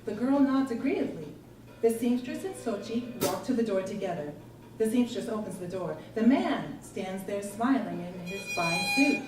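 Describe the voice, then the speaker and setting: A woman reading a literary story aloud in an expressive, deliberate, theatrical manner at a live performance. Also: mid-range voice. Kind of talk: dramatic literary story reading with character voices. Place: performance space, recorded live from a distance. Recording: roomy distant live recording with loud music. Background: live guitar, brass and percussion music.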